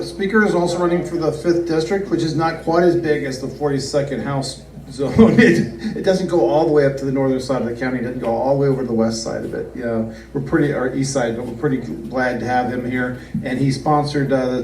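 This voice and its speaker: A man's voice: medium pitch, steady tone, fairly quick, darkish tone, a little hiss or hum. A man acting as emcee introduces speakers in a casual, easygoing way. He sounds far off in a roomy space, with faint ambience behind him. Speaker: event emcee.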